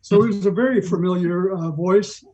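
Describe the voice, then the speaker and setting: A man giving an informal, halting, explanatory introduction to a program over a video call. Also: high voice, mellow, highs cut off. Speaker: club presenter introducing an old radio program. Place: online video call meeting. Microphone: video call microphone.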